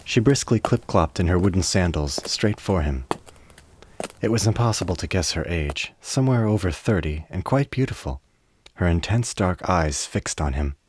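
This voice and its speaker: A man narrating a radio play as a scripted story, with a measured, literary delivery. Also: deep voice, expressive, a little mellow, breathy edge.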